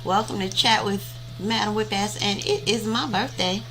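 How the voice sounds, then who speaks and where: A woman's voice: medium pitch, normal speed, sharp tone, soft background music, rough recording. A woman in her fifties hosting a casual livestream chat, rambling and chatty as she thanks viewers by name. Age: 50s. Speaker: livestream host.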